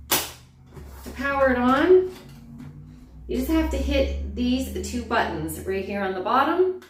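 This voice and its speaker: A woman vlogger speaking in a chatty, enthusiastic way, casually reviewing motorcycle gear and narrating rides. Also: mid-range voice.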